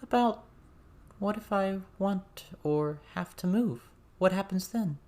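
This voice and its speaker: A woman's voice: mid-range voice, slow, dark tone, natural voice. A woman playing a worried, hesitant teenage girl in an audiobook. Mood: anxious, hesitant. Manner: hesitant, anxious.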